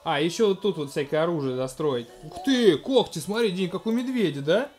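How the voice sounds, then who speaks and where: A man in his thirties casually commenting on a video game in a gentle, coaxing way, talking to a small child beside him at home. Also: on the high side, expressive, a little fast, faint music behind. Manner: gentle, coaxing, talks to the child, narrates what is on screen. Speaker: let's-play host playing with his toddler.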